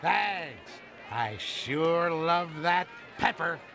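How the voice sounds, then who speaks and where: A man's voice: fairly high pitch, lively intonation, drawn-out words, creaky, pushed and bright, some chatter behind, heavily acted. A man voicing a cheerful, befuddled old superhero in a cartoon game, genial and oblivious. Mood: content. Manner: genial, befuddled, literal-minded. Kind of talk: video game cartoon voice acting. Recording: music behind.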